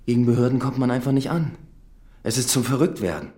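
An actor playing a sympathetic prison doctor, calm and kind, in a radio play. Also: male voice, mid-range voice, fast talker, soft background music, lightly acted. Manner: calm, kind, frustrated at authorities. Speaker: doctor Cassini.

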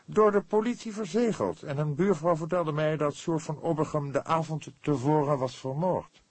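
A man's voice: fairly high pitch, normal speed, dry recording, voice pushed up, lightly acted. A man voicing a pompous, precise, easily indignant book publisher in an audiobook, speaking in an emphatic, formal, affected way. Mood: stiff, subdued.

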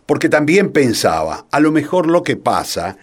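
A man's voice: fairly high pitch, very animated, normal speed, breathy edge. An older man from Argentina with a Rioplatense accent, telling anecdotes casually in a self-deprecating, digressive way. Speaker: interview guest, theatre playwright.

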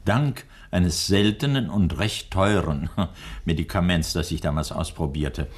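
An actor playing an older, courteous and worried doctor in a 1960s German radio drama, speaking in a measured, hesitant way. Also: male voice, deep voice, slightly rough. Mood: storytelling, courteous.

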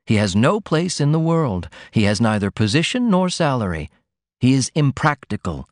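A calm, sympathetic middle-aged mother, as a male narrator puts her on, speaks gently and steadily, guiding her daughter. The voice is lifted a little above his own, lively in its turns. The recording is dry and close. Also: medium pitch, normal speed, no room sound, pitched above the performer's own voice, natural voice. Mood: gentle guiding. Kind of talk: audiobook character reading.

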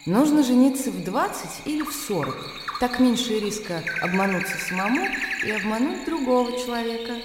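An actress in a radio play playing a composed, worldly widow who speaks in a measured, persuasive way. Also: female voice, on the high side, expressive, noisy background, lightly acted. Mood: reflective, persuasive. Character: composed, worldly young widow, a landowner's self-assured sister. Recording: echoey room with music behind.

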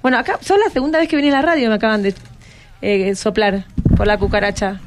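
A woman's voice: medium pitch, steady tone, fast. A woman with an Argentine accent casually interviewing guests as a radio host. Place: radio studio.

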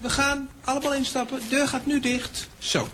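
A man's voice: very high voice, flat delivery, moderate pace, noisy background. A man playing a helpful, slightly flustered train conductor in a radio play. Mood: polite, bustling.

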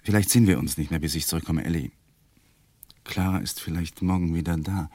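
Lawyer husband, husky, quiet and restrained, low voice, dry close sound. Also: male voice, brisk, dry recording. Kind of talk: radio play acting.

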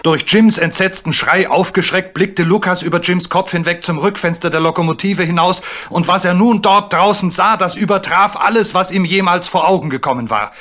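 A man narrating a German radio play in an expressive, suspenseful storybook style. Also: high voice, moderate pace, hardly any pauses.

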